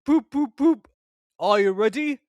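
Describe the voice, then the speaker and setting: A man's voice: very high-pitched, expressive, measured pace, no echo, muffled recording, pitched above the performer's own voice. A man voicing a pompous, hearty big express engine in a grand, blustering manner. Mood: mocking amusement.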